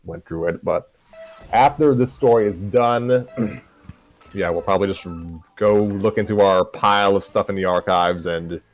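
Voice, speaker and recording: A man's voice: on the low side, unhurried. A man hosting a casual show, speaking with a dry, mildly exasperated manner. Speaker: host of a fan fiction reading show. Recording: music playing behind the voice.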